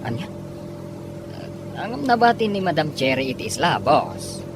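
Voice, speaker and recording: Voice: mid-range voice, very animated, unhurried, noisy background. An actor playing a deferential henchman to a scheming boss in a scripted audio drama. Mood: uneasy, deferential.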